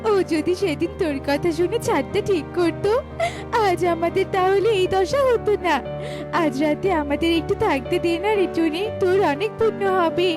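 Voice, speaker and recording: Woman as cartoon crow wife, high voice, sharp-tongued, scolding, sarcastic, background music. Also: normal speed. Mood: complaining. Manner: nagging, sarcastic, threatening. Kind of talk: children's cartoon voice acting. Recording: music behind the voice.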